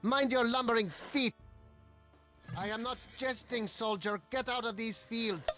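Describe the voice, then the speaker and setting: An actor playing an angry, scolding medieval farmer in a game, speaking with open indignation. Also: male voice, extremely high pitch, unhurried, over loud music. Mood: indignant.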